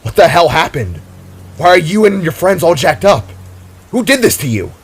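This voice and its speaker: A man's voice: on the high side, big pitch swings, moderate pace, slightly rough, voice pushed up. A man voicing an anxious, protective animal father who speaks urgently and stumbles on words in a story. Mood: demanding, worried. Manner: urgent, stammering.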